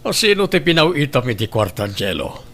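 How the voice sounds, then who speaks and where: A man acting a blunt, cynical older uncle who nags and scolds in an Ilocano audio drama, his voice gravelly. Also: on the high side, big pitch swings, normal speed, music in the background. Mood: probing.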